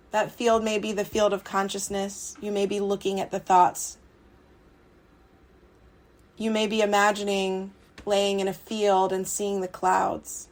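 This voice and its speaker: A woman leading a guided relaxation meditation, speaking soothingly and unhurriedly in short phrases with long pauses. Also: medium pitch. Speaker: meditation guide, yoga teacher. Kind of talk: guided yoga nidra meditation.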